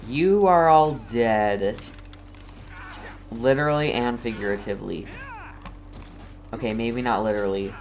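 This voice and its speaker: A man's voice: medium pitch, measured pace, pauses often. A man giving casual gameplay commentary as a streamer, with dry, understated joking. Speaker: gamer, streamer.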